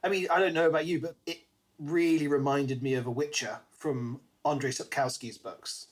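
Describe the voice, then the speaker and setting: A man's voice: fairly high pitch, steady tone, normal speed. A man co-hosting a podcast, chatting casually about a book and acting out bits as he retells. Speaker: podcast co-host.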